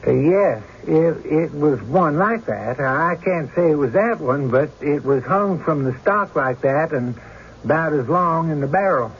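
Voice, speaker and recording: A man's voice: fairly high pitch, expressive, unhurried. An actor playing an uneasy, plain-spoken country man in an old-time radio drama, with a hesitant rustic manner and a muffled recording. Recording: muffled, faintly noisy radio broadcast.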